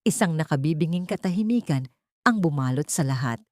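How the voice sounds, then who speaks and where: A woman with a low voice narrates dramatic fiction. Her delivery is deliberate and theatrical, with melody that swings widely to build suspense, in a very dry, close sound. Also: animated, a little mellow, no echo. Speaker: narrator of an audio story. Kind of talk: dramatic scripted storytelling narration.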